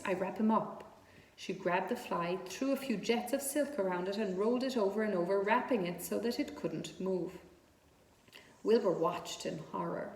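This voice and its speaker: The room echoes clearly around a woman reading aloud. She narrates a children's story expressively, at a middling pitch. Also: a little mellow.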